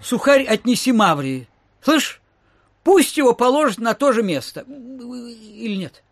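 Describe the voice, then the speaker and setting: Old miserly landowner, audio play, raspy, wheedling, repetitive mutter, dry close sound. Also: male voice, very high voice, very animated, measured pace, fairly bright tone, dry recording, heavily acted. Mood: bossy, muttering. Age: older.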